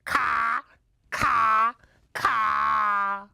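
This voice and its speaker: Woman playing a loud, high-cawing lovestruck papa crow. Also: fairly even intonation, drawn-out words, creaky, smooth, exaggerated voice. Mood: loving. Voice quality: loud cawing bird calls. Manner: emphatic, exclaiming, questioning. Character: big strong male papa crow, lovestruck and eager.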